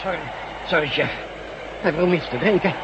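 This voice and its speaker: Male actor as hurried subordinate trooper, quick and high-pitched, radio drama, dull noisy outdoor scene. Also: lightly acted. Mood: flustered. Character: agitated male soldier reporting back to his chief. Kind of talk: Dutch science-fiction radio drama acting. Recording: muffled recording with loud outdoor noise effects.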